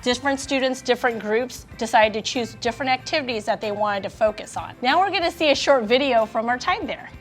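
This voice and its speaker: Female presenter, high pitch, upbeat scripted read over light music. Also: fairly quick, few pauses.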